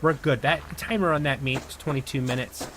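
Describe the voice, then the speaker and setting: A man giving rambling, chatty gameplay commentary to his viewers, his voice worn and going hoarse. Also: medium pitch, brisk, noisy background. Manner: rambling, chatty, talks to viewers while playing. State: losing his voice. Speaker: gaming video host.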